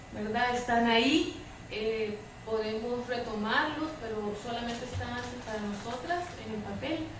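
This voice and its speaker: A woman psychologist speaking on a public debate panel, earnest, driving points home with repetition. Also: fairly high pitch. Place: public debate hall with audience. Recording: very echoey hall, distant mic, faint noise.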